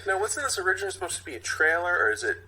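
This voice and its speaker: A man's voice: sits high, very animated, racing, sharp tone, slight background noise, poor audio. A man co-hosting a podcast in casual, bantering chat. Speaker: podcast co-host.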